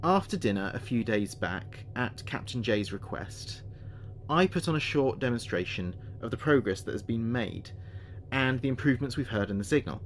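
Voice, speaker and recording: A man playing an earnest spaceship communications officer, speaking his log in a measured, precise way for a sci-fi audio drama. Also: medium pitch, expressive, a little hiss or hum, close to an everyday voice.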